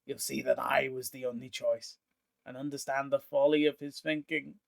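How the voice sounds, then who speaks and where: A man's voice: medium pitch, flat delivery, normal speed, vocal fry, lightly acted. A man voicing a jealous, cruel four-armed male Vedalken hunter who gloats and then begs, in an audiobook reading. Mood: scornful. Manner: scornful, self-pitying, pleading when threatened.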